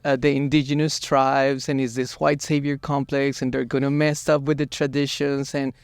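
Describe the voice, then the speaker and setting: A man from Colombia hosting an interview in a studio, asking measured, unhurried questions. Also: mid-range voice, level tone, clear, resonant tone, no room sound. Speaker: podcast host.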